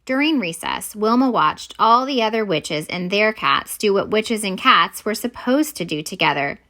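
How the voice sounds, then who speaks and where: A woman reading a children's picture book aloud as a storyteller, expressive and deliberate. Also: mid-range voice.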